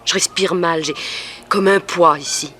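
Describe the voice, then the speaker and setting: An actress playing a young, submissive streetwalker in a 1960s radio drama, resigned and plaintive. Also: female voice, lowish voice, fairly even intonation, a little slow, pushed and bright. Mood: resigned, uneasy. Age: young adult. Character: weary, frightened, submissive young streetwalker under a pimp's hold. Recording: faintly noisy old radio recording.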